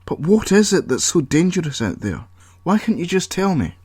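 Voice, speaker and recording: A man's voice: high voice, sing-song, moderate pace, a little mellow, breathy edge, no room sound, studio quality, voice pushed up, full character voice. A man voicing a frustrated, impatient trapped character in an audio story, pleading and demanding answers. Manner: exclamatory, demanding questioning.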